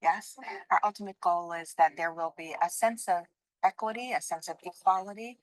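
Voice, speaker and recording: A woman speaking earnestly and measured in a played video clip. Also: lowish voice, no room sound. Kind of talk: formal spoken reflection.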